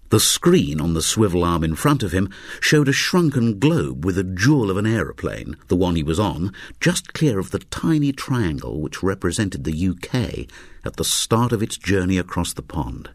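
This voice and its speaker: Male story narrator: low, husky and dry-recorded, wry and very animated in delivery. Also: no echo. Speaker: audiobook narrator. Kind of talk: scripted fiction narration.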